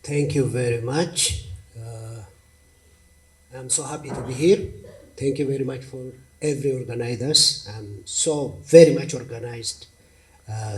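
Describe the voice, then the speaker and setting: Brief: Ethiopian-accented man, mid pitch, earnest talk, mic a bit far off. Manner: earnest, hesitant with filler sounds. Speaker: panel speaker. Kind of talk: conference talk.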